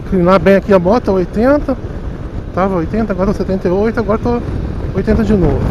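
A man's voice: high-pitched, fast talker, highs cut off. A man with a Rio de Janeiro accent, chatting casually to viewers while riding a motorcycle through city traffic. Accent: Rio de Janeiro Portuguese. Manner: chatty, self-mocking, talks to viewers directly. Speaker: motovlogger. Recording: faint wind and road noise. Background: faint wind and traffic noise.

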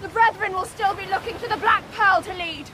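A woman's voice: very high-pitched, a little fast, a crowd in the background. An actress playing a bold, commanding young female pirate leader in an audio storybook drama, declaiming her lines. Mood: rousing, commanding. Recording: loud background noise.

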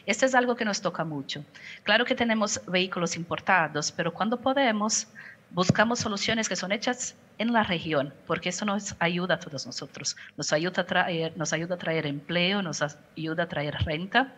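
A middle-aged Brazilian woman speaks Spanish with a Portuguese accent, her voice slightly low. She answers over a headset on a video call, pausing to pick words and restarting phrases. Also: a bit bright. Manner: careful, searches for words, frequent restarts. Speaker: company communications director. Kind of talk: panel interview answer. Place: home office, indoors.

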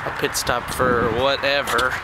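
Young american dude doing a jokey vlog, handheld camera, really noisy all around him. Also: male voice, mid-range voice, little pitch movement, measured pace. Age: young adult. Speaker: vlogger. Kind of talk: casual chat to camera. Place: stores and parking lots around town. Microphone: handheld camera at arm's length. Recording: handheld camera, loud ambient noise.

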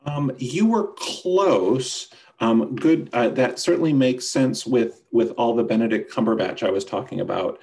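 A man with a gravelly voice presenting a product demonstration and answering questions on a video call. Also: medium pitch, unhurried, hardly any pauses, a little mellow. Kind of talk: webinar demonstration and Q&A. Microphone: video call microphone.

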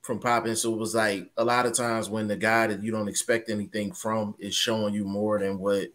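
A man's voice: on the low side, level tone, fairly quick, a bit bright. A man co-hosting a boxing chat over a video call, laid-back and drawn out. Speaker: co-host.

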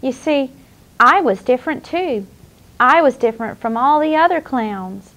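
A woman playing a sweet, nurturing clown who hosts a children's show, with a gentle, encouraging manner. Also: sits high, expressive, moderate pace. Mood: gentle, caring. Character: sweet, nurturing young female clown hostess.

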